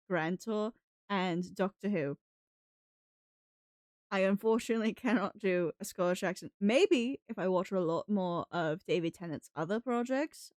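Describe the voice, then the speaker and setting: A woman's voice: mid-range voice, a little mellow, no room sound, studio quality. A woman from New England chatting casually on a livestream in a put-on British accent, rambling in a chatty, giggly way. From New England, United States. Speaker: live streamer. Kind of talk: casual livestream chat with viewers.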